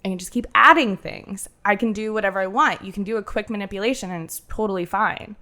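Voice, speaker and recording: A woman bartender with a nasal voice, chatting casually on a podcast and telling animated stories with quoted asides. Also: mid-range voice, quick pace. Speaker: bartender and cocktail menu creator.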